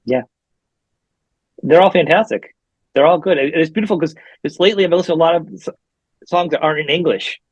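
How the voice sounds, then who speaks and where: Fast-talking host on dry lo-fi audio. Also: male voice, a little high, breathy edge, no echo. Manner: rapid, enthusiastic, chatty. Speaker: podcast host. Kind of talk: interview conversation. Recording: dry, dull lo-fi remote audio.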